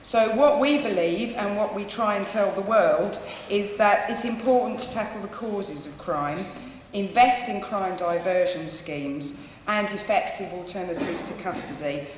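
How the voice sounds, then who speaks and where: A woman's voice: medium pitch, unhurried. A woman speaking at a campaign event, earnest and persuasive, with frequent ums. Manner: says she has a loud voice. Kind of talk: public talk at an event. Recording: echoey hall, faint noise.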